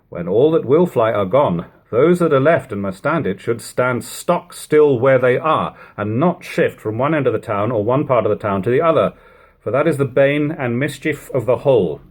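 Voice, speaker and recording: A man reading an old book aloud as a literary narrator, in a measured, deliberate way with formal phrasing. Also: medium pitch, husky. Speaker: audiobook reader. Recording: lo-fi home recording.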